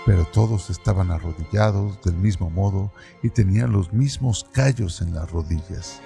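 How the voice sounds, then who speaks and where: A man giving a measured, deliberate scripted reading of a literary text as narrator of a radio-theatre piece. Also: low-pitched, gravelly, music in the background.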